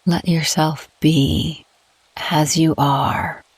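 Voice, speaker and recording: A woman guiding a meditation in a gentle, calm, unhurried way with long pauses between phrases. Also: deep voice, slightly rough, dry recording. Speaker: guided meditation host. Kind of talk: soothing guided meditation narration.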